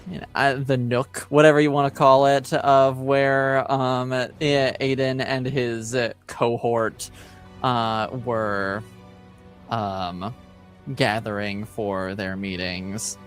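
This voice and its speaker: Unhurried man, casual game master. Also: mid-range voice, little pitch movement, clear, resonant tone, faint music behind. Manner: casual, halting, searching for words. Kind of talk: improvised tabletop game narration.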